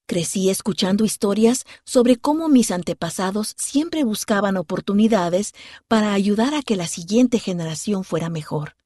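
A woman from Honduras narrating her own story in a calm, sincere scripted read. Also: mid-range voice, normal speed, no room sound, studio quality. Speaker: narrator of a personal testimony. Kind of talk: scripted first-person narration.

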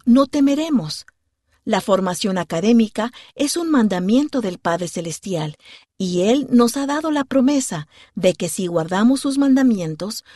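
Woman from Honduras, calm and sincere, mid pitch; dry, close read. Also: no echo. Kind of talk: scripted testimony narration.

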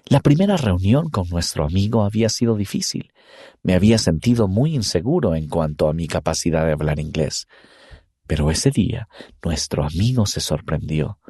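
A man reading a first-person story aloud in an earnest, expressive way. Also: low voice, normal speed, slightly rough, dry recording, studio quality. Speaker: reader. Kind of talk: scripted first-person narration.

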